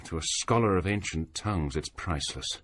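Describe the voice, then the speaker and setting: A prim young scholar. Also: male voice, sits low, expressive, a little fast, a bit bright, slight background noise. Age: young adult. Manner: precise, prim, reproving. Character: bookish young male scholar, fussy and self-assured. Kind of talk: radio drama acting.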